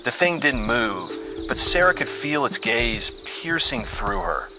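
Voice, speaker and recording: A man's voice: mid-range voice, big pitch swings, unhurried. A man reading a scripted horror story with dramatic, suspenseful delivery. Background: music under the voice.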